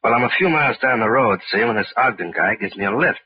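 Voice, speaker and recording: An actor playing a brash, impatient, slangy male fugitive with a husky voice and a scheme, in 1940s radio style. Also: medium pitch, lively intonation, fast talker. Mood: brisk, confiding. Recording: dull old radio recording.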